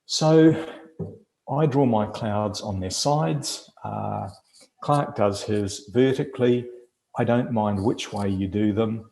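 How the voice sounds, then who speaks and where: A New Zealand man leads an online workshop in a low, dark, gravelly voice, taking his time over each point. He explains patiently with plenty of ums. The call sounds echoey, as if from a bare room. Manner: measured, explanatory, with frequent fillers. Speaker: webinar presenter. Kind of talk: teaching a webinar. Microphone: video call.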